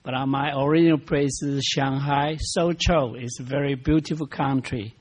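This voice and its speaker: A man's voice: medium pitch, steady tone. An older man from China with a Chinese accent, speaking politely and simply as a senior program participant. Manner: slow, simple, polite speech.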